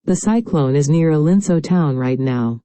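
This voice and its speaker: Very muffled, dark-voiced woman newsreader. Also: low voice, expressive, moderate pace, dry recording. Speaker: news announcer. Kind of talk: scripted news bulletin.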